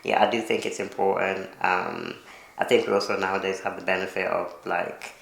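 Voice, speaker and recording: Deep low guy with a gravelly voice, laid-back chat, echoey room. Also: normal speed. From Kenya. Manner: relaxed, conversational, with many fillers. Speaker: co-host. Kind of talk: casual chat answering viewer questions.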